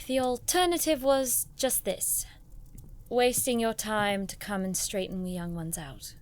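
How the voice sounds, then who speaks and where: A woman's voice: medium pitch, measured pace, darkish tone, natural voice. An actress playing a clever, defiant young noblewoman in an audio drama, with a sardonic, formal turn of phrase. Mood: wry, defensive. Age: young adult.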